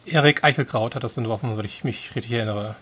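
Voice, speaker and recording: A man's voice: medium pitch, fast talker, a crowd in the background. A man in his forties from Hamburg, a guest in a casual podcast chat, modest and hesitant as he searches for words. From Hamburg, Germany. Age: 40s. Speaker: guest, convention organiser.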